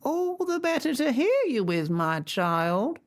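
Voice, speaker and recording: A reader voicing a wolf faking a croaky old grandmother, in a storybook. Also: sits high, very animated, slow pace, pitched above the performer's own voice, full character voice. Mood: scheming. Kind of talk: storybook reading.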